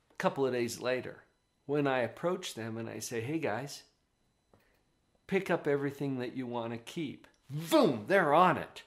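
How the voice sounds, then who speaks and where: Brief: man, mid-pitched, parenting coach, chatty and emphatic, office setting. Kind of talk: direct-to-camera parenting advice.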